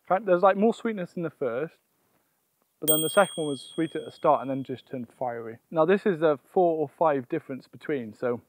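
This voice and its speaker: A man's voice: a little high, animated, fairly quick. A young man presenting a casual gardening vlog outdoors, explaining things and often thinking aloud. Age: young adult.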